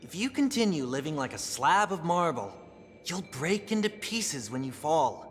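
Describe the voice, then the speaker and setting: A man's voice: fairly high pitch, sing-song, moderate pace, big-room sound, soft background music. An actor playing the teasing, frivolous alter ego of a prim man in a video game, with a taunting, theatrical delivery. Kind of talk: scripted dramatic game dialogue.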